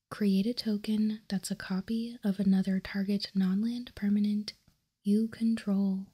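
A woman whispering softly and calmly in an ASMR style, explaining and reading out text as a host, close to the microphone. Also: medium pitch, husky. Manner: soft, unhurried, calm explaining. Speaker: host of card-game ASMR channel.

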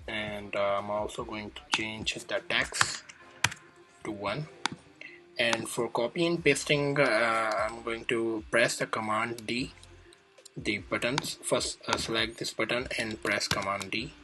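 A man presenting a casual coding tutorial, thinking aloud in a halting way as he demonstrates each step. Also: fairly low pitch, steady tone, low-quality recording. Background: music clearly playing behind the voice.

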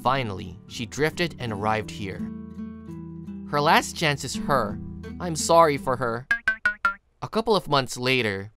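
A woman's voice: a bass voice, lively intonation, measured pace, pauses often. A woman reading scripted story narration. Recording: music behind the voice. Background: plucked guitar music.

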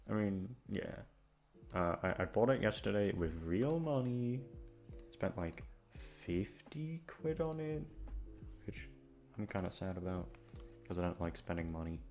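Low-voiced young man rambling over music. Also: lively intonation, takes it slowly, pauses often, darkish tone. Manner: self-deprecating, hesitant, sweary. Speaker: audio creator and voice actor. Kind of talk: rambling casual chat to listeners.

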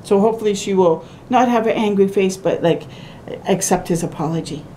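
A woman's voice: a little fast, warm tone, poor audio. A middle-aged woman reading a children's picture book aloud with asides, in simple sing-song phrasing addressed to little ones. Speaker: children's storytime reader. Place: small room at home, by a window. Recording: clear background noise with low rumble.

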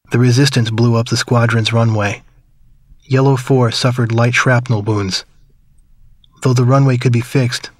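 Guy playing a wistful war memoir narrator in a game, measured and somber, mid voice, dry sound. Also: mid-range voice, no room sound, natural voice. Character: wistful man recalling his wartime youth. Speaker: story narrator. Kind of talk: scripted reflective first-person narration.

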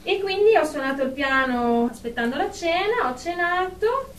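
A woman's voice: very high voice, lively intonation, normal speed. An actress playing a haughty, self-important career woman in a radio drama therapy session. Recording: echoey room, distant mic, faint noise.

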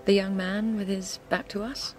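An actress playing a gentle, regretful young woman in a radio drama. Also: mid-range voice, level tone, moderate pace, close to an everyday voice. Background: music.

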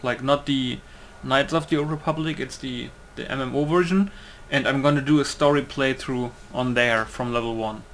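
A man's voice: medium pitch, fairly even intonation, moderate pace, hardly any pauses, a bit bright, slight background noise. A man doing casual, rambling let's-play commentary, often interrupting himself as he goes. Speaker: gaming YouTuber.

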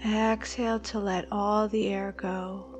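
Slow-paced woman guiding meditation over music. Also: medium pitch, level tone. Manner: slow, soothing instructional delivery with long pauses. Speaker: meditation guide. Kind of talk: guided meditation. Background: background music.

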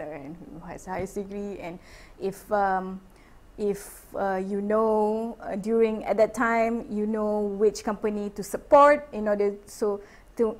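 Malaysian lady academic, rambly seminar talk, lots of ums and self-corrections, middle pitch. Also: a little mellow. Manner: chatty, many fillers and self-corrections, laughs lightly. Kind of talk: informal academic talk over slides.

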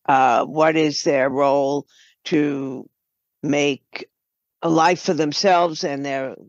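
An older woman speaks slowly and thoughtfully, pausing as she explains. She is a guest in an interview, and her voice comes through dry but somewhat dull and lo-fi. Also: deep voice, steady tone, no room sound. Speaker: guest, writer and producer. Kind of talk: reflective interview talk.

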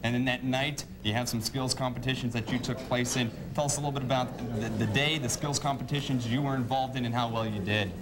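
A man's voice: mid-range voice, fairly even intonation, fast, hardly any pauses, over a noisy crowd. A young man hosting a broadcast sports interview in a polished, wordy announcer style. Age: young adult. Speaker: sports show host, interviewer. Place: sports grill, indoors, at a table. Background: clear ambient noise.

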